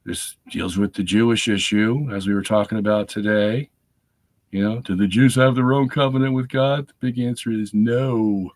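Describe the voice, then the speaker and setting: A middle-aged man hosting a live stream from his home study, chatting casually and checking in with "okay?", hoarse from a lingering cold. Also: fairly low pitch, steady tone, moderate pace, dry recording. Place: home study lined with bookshelves.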